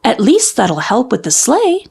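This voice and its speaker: A woman's voice: on the high side, wildly swooping pitch, measured pace, vocal fry, a little mellow, slightly rough, heavily acted. A woman voicing an earnest, curious young girl bear cub in a children's storybook, full of wondering questions. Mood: pondering.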